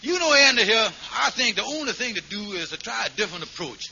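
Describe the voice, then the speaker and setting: An actor playing a henpecked middle-aged schemer in an old radio sitcom, stammering and mangling big words. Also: male voice, high voice, very animated, fast talker, gravelly, bright, forceful tone, heavily acted. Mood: scheming. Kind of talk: 1940s-50s radio sitcom acting. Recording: muffled, very noisy vintage radio recording.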